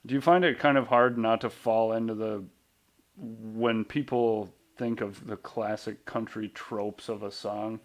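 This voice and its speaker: A young man hosting an interview in a studio, asking relaxed, loosely worded questions and swearing casually. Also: on the low side, unhurried. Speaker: podcast host. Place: radio studio.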